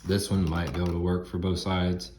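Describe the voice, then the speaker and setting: A man in his thirties with a low, stuffy voice explains a truck repair in chatty, folksy, loosely worded talk. He records on a phone held close in his home garage. Loud background noise fills the track. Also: flat delivery, brisk. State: stuffy from a head cold. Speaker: DIY auto repair host. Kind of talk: casual how-to explanation. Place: home garage, by an open door. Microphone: phone camera close by, at arm's length.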